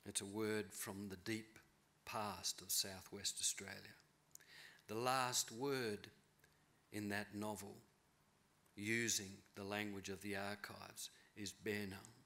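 A middle-aged man giving a keynote lecture from a podium in a large auditorium, thoughtful and measured, often rephrasing himself. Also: on the low side, level tone, sharp tone. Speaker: keynote speaker, novelist. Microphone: podium mic.

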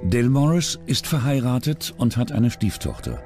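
Animated male true-crime narrator over music. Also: medium pitch, normal speed. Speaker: documentary narrator.

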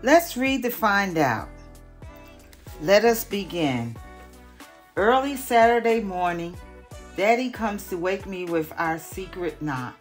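An older woman, a retired teacher, reading a picture book aloud in careful, deliberate narration. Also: medium pitch, expressive, soft background music.

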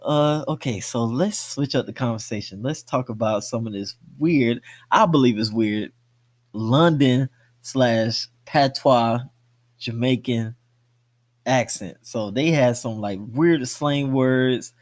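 Man, mid-pitched voice, podcast co-host, casual movie talk, slangy, free with swearing. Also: slow, a little mellow.

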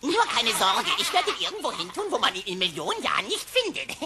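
A woman's voice: a little high, huge pitch swings, normal speed, a crowd in the background. A woman voicing a perky, glib atom mascot presenting a parody educational cartoon film in a jaunty way. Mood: breezy, glib. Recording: faintly noisy cassette.

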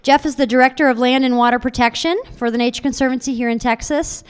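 A woman's voice: sits high, steady tone, fast talker, smooth. A woman at a conference announcing a program change into a handheld mic, upbeat with many fillers. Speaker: conference host. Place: hotel conference room.

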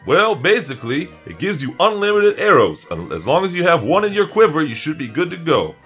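A man's voice: fairly high pitch, big pitch swings, brisk, fairly bright tone, soft background music. A man playing a helpful advisor who guides superheroes in a video game roleplay. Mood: helpful, cautioning. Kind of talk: improvised Minecraft roleplay.

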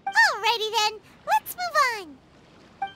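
A woman voicing a tiny, earnest, childlike fairy companion in a video game, with a squeaky, expressive delivery. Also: takes it slowly, heavy background noise, exaggerated voice. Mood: encouraging, musing. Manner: talks in third person, childlike and expressive. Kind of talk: scripted video game character acting.

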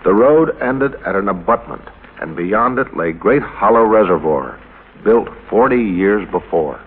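A man's voice: fairly low pitch, lively intonation, measured pace, breathy edge. A man reading scripted narration for a vintage radio mystery. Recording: muffled old radio recording with music.

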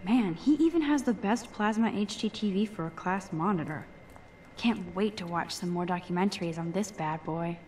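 A woman's voice: lowish voice, moderate pace, a little mellow, slight background noise, natural voice. A young woman with an American accent voicing a shy, wry, geeky teenage photography student in a video game. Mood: geeky enthusiasm. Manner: wry, slangy, self-doubting. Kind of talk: introspective game voice acting.

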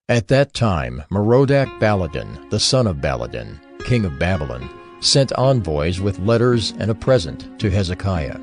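Male narrator for dramatized scripture; low, animated voice over faint background music.

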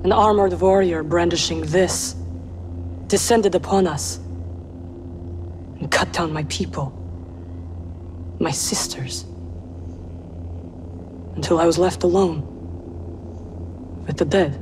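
An actress voicing a proud, wary young witch in a video game, speaking in a measured, solemn, terse way. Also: fairly low pitch, steady tone, a little mellow, slight background noise. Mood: somber, bitter. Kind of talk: video game cinematic acting.

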